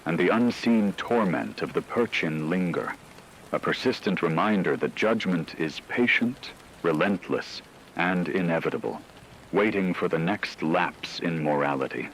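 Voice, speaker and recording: A man's voice: deep voice, unhurried, fairly bright tone, slight background noise, rough recording. A man playing a solemn radio newscaster, narrating formally and ominously in a scripted radio drama. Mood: grave, ominous. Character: solemn male radio newscaster reporting supernatural terror. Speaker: radio news host.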